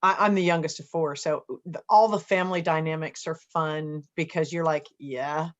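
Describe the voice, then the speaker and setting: A woman hosts a relaxed, conversational interview. Her voice sits a little low, and her manner is friendly, chatty and appreciative. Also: normal speed, dull audio. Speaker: interview host.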